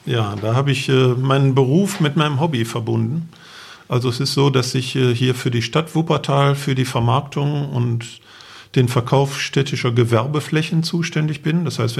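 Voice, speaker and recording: Man explaining in detail, slightly creaky voice. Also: medium pitch, darkish tone. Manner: explains matter-of-factly in detail. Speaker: interview guest, enduro event organizer; architect for the city. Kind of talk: conversational interview storytelling.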